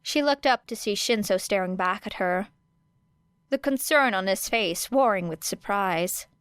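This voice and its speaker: A woman narrating fan fiction as an audiobook in a steady, even storytelling voice of middling pitch. The recording is dry and close, with no room sound. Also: unhurried, smooth, dry recording. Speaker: podfic reader.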